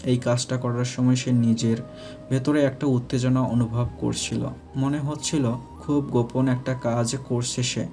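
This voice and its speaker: Guy narrating a love story, soft dark voice, pretty even tone, light music behind. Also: mid-range voice, unhurried. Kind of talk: scripted romantic story narration.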